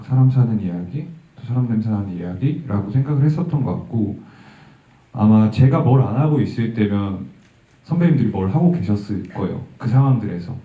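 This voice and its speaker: A male actor answering an audience question, thoughtful and halting, with soft laughs. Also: lowish voice, animated. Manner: reflective, halting, laughs softly. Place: event hall. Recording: tinny, distant sound in a roomy hall.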